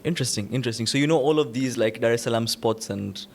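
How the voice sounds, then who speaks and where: A man's voice: medium pitch, fast. A man hosting a podcast, opening the episode and asking his guest curious questions in an upbeat way. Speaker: podcast host. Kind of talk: podcast interview.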